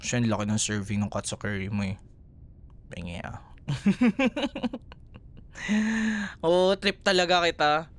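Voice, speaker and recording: A man's voice: a little high, expressive, slow pace. A man with a Filipino accent playing an affectionate, teasing, protective young boyfriend in an intimate ASMR roleplay, speaking in a tender, soothing way. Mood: playful teasing. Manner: tender, playful, soothing, softly murmured.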